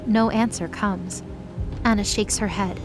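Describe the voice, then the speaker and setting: A woman's voice: mid-range voice, fairly even intonation, a little slow, music in the background. A woman reading scripted story narration for an audio drama.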